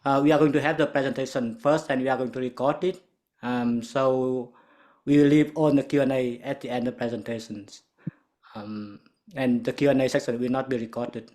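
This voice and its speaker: A man hosting a webinar, introducing speakers with many fillers and pauses. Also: medium pitch, fairly even intonation, a little mellow. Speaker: webinar host.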